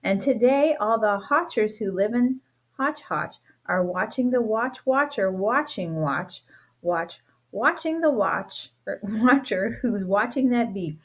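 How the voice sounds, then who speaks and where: A woman teacher reads a rhyming picture book aloud at a slow, unhurried pace. Her delivery is playful and expressive, bouncing along with a sing-song lilt. Her voice sits at an ordinary middle pitch. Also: breathy edge. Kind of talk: storybook read-aloud.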